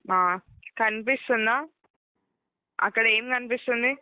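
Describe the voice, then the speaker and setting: A woman's voice: a little high, fairly even intonation, unhurried, clean tone, no echo. A woman working as a customer care agent, giving step-by-step instructions over the phone, often repeating herself and checking that she is understood. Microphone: telephone call. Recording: narrow, tinny phone line.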